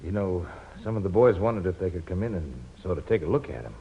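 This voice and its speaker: A man's voice: low voice, expressive, quick pace, breathy edge, heavily acted. An actor playing a folksy, good-natured mining camp man in a 1950s radio drama. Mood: folksy, eager. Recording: dull vintage 1950s radio recording.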